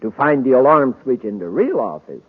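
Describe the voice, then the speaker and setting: A scheming crook in an old radio space adventure. His husky, medium-pitched voice is smug and conspiratorial, heard on a muffled vintage recording. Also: very animated, a little fast. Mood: smug, gloating. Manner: self-satisfied, conspiratorial. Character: smug, scheming male criminal accomplice. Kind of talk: 1950s radio serial acting.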